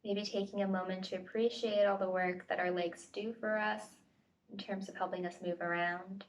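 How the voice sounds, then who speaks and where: A woman facilitator leading a guided body scan meditation with gentle, tentative invitations and pauses, recorded at home. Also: medium pitch, little pitch movement. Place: at home, indoors. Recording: home recording, distant mic.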